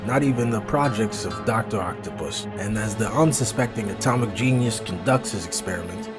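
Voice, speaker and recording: A man's voice: on the low side, a little fast, loud music behind the voice. A man giving theatrical, portentous scripted narration of a comic book story.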